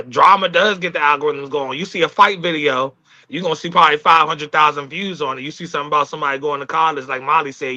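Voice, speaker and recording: Male talk show regular, very sharp bright voice, slightly dull lo-fi audio, casual discussion with thoughtful, halting delivery. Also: medium pitch. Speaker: talk show team member.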